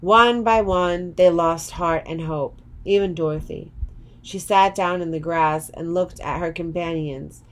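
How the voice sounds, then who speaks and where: A woman's voice: on the low side, measured pace. A woman storyteller narrating a children's storybook expressively.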